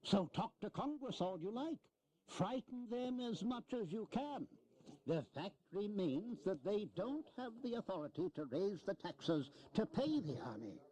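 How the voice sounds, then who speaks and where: A high-pitched, animated old statesman in a historical audio drama. He is wily and philosophical, swooping through emphatic, deliberate oratory like a seasoned political persuader. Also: low-quality recording. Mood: persuasive.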